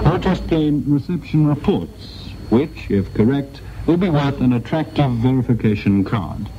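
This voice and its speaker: A man with a mid-pitched voice reads a scripted broadcast announcement. The vintage shortwave recording is muffled and clearly noisy. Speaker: radio station announcer.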